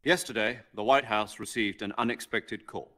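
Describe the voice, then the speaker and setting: An actor playing a wry, scheming commanding officer in a video game cutscene, with a measured, sardonic way of briefing. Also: male voice, lowish voice, pushed and bright. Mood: wry, confiding.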